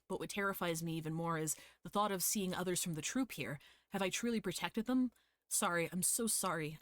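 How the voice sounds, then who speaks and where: A woman's voice: fairly low pitch, no room sound. A woman livestreaming a game, reading its text aloud and adding casual, hesitant commentary.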